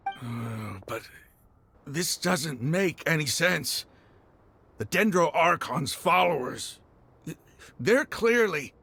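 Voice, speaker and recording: Mercenary chief: rough, creaky voice, proud and intense, swinging widely in pitch. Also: male voice, expressive, bright, forceful tone, a little hiss or hum, exaggerated voice. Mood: disbelieving. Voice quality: gravelly. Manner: dramatic, halting, emphatic. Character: bitter, proud desert mercenary leader, emotionally intense. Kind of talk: dramatic fantasy game dialogue.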